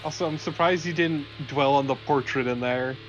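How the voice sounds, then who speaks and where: A man's voice: fairly high pitch, normal speed, dull audio. Man co-commentating with dry asides. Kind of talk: casual game banter. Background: game music.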